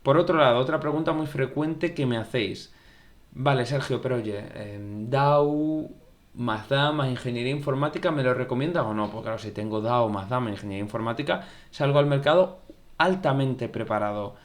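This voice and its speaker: A man's voice: mid-range voice, normal speed. A young man gives casual, conversational advice about programming studies, often repeating phrases and acting out viewer questions. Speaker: YouTube tech educator. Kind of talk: casual advice talk to camera.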